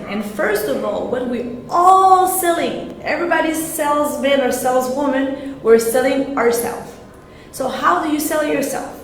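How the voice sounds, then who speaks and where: A woman whose first language is French gives a live-streamed sales talk in English, hesitating and often repeating and restarting her words. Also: fairly high pitch. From French-speaking. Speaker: sales coach. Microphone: live-stream camera. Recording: very echoey room, faint noise.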